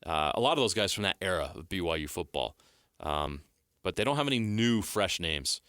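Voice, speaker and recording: A man hosting a sports radio show, talking briskly and leading the segment. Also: low-pitched, animated, a bit bright, smooth, dry recording, clean studio sound. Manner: brisk, upbeat, leads the segment.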